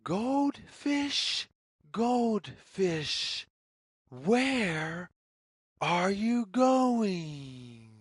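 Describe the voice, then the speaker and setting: A woman reading a picture book aloud for young children, with deliberate, singsong phrasing and questions put to the listener. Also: mid-range voice, big pitch swings, husky, no echo, clean studio sound. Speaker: children's read-along storyteller.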